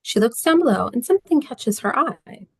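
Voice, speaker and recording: A woman's voice: on the high side, sing-song, normal speed, gravelly, slightly rough, no echo. Woman reading stage directions, very dry sound. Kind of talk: scripted narration at table read.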